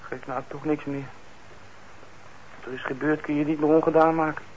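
A man's voice: fairly high pitch, level tone, normal speed, a little mellow, lightly acted. An actor playing a troubled young man on parole in a radio drama, hesitant and defensive, stammering when he is upset. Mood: downcast. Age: young adult. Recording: dull, noisy old radio recording.

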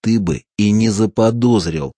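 Man voicing a calm, dry-mocking wiry man. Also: fairly low pitch, unhurried, no echo.

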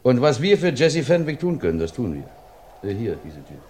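A man's voice: medium pitch, sing-song, brisk, background noise, close to an everyday voice. An actor playing a plain-spoken, fatherly villager in an old radio play. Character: homely middle-aged male innkeeper, fatherly.

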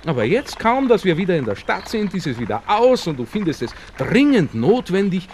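A worldly young Viennese gentleman in an old radio play. His highish voice swings freely as he lectures and urges in a genial way. Some background noise runs under the dialogue. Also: big pitch swings, fairly quick. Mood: genial lecturing. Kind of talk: 1960s German radio drama acting. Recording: noisy old radio play with outdoor ambience.

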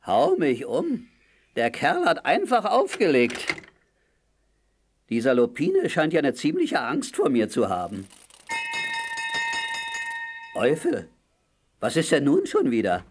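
An actor playing a tough, good-hearted sailor hero in a children's audio drama, boastful, muttering and full of exclamations. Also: male voice, on the high side, wildly swooping pitch, slow, pauses often, a little hiss or hum, heavily acted. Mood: grumbling.

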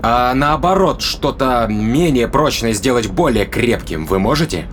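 A man's voice: mid-range voice, animated, a little slow, a bit bright, slight background noise. An actor playing a skeptical, rational man who interrogates in a matter-of-fact, questioning way in an audio drama.

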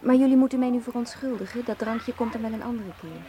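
A woman's voice: on the high side, fast, warm tone, close to an everyday voice. A woman acting in a radio drama as a poised, evasive and coolly polite researcher.

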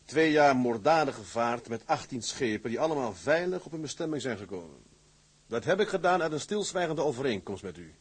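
An actor playing an ambitious, proud tugboat captain in a period drama, firm and businesslike. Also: male voice, mid-range voice, animated, fairly quick, husky. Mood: firm, persuasive. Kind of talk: acted period drama dialogue.

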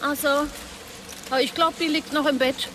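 High-voiced, polite, unsuspecting daughter. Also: female voice, fairly even intonation, moderate pace, fairly bright tone, a little hiss or hum. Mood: politely curious. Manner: polite, casual. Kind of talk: German radio drama acting.

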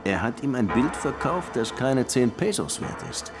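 An actor playing a weary, sardonic police detective in a German radio crime drama, speaking in a dry, probing way. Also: male voice, mid-range voice, big pitch swings, moderate pace, loud music behind the voice. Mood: assured.

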